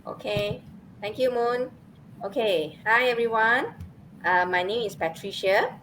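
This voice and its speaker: A woman introduces herself in a plain, steady way. She uses a few fillers as she goes. Faint ambient noise sits in the background. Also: a little high, unhurried. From Malaysia. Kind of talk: casual self-introduction.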